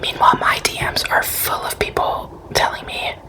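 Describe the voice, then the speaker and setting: A woman's voice: normal speed, close to an everyday voice. A woman doing a whispered ASMR roleplay as a flamboyant, self-important celebrity shop owner, theatrical, boastful and sassy. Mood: conspiratorial, sassy.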